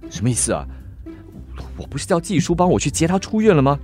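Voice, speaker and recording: Flustered sick man, swooping pitch. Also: very animated, quick pace, darkish tone, faint music behind. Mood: alarmed. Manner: grumbling, urgent. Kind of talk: Mandarin radio drama acting.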